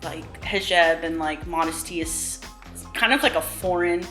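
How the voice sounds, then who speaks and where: A woman's voice: on the low side, unhurried, pushed and bright. A woman in her thirties from Southern California, talking casually in an interview with lots of fillers. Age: 30s. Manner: casual, chatty, many fillers, laughs easily. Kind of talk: candid sit-down interview. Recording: music bed behind voice.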